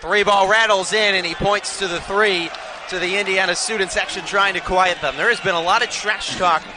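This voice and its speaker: A man's voice: high-pitched, fairly even intonation, moderate pace. An American man calling live basketball play-by-play for television with emphatic game calls. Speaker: play-by-play announcer. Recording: noisy arena with loud crowd. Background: crowd noise.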